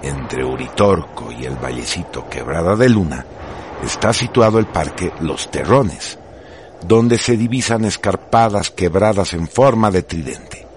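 A man's voice: low voice, lively intonation, unhurried, slight background noise. A man narrating a radio drama from a script in a grave, declamatory manner. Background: prominent music.

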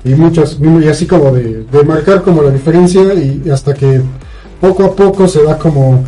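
A man's voice: on the high side, normal speed, warm tone, soft background music, rough recording. A man with a Mexican accent chatting casually as a podcast co-host, recounting a story in a rambling, colloquial way with frequent tag questions. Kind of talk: casual chat, recounting a film plot.

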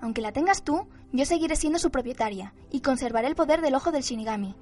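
Radio drama role, for a woman: devoted girlish young woman, high voice, music underneath. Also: animated, fast talker, darkish tone. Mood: confident, insistent.

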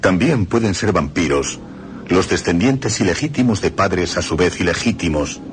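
A man reading historical texts aloud on a radio program in a grave, deliberate recitation. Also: low-pitched, expressive, background noise. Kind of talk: solemn scripted reading.

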